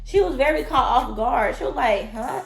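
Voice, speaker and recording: A woman who is a little sick and sounds raspy, giving blunt, emphatic opinions about a reality show straight to her viewers. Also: high voice, expressive, normal speed. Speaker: reality TV commentator. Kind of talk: opinionated vlog commentary. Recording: echoey room with loud music.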